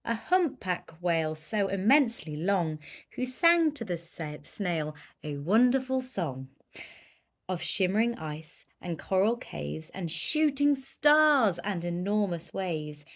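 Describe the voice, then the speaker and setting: Female children's story reader: unhurried delivery, very animated pitch, emphatic and dramatic. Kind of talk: expressive children's picture book read-aloud.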